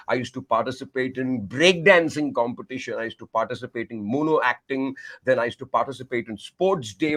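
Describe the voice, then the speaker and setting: A man with an Indian accent, Tamil by first language, telling his life story as a communication coach in an animated, effusive way with frequent laughter. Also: medium pitch. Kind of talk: remote podcast interview.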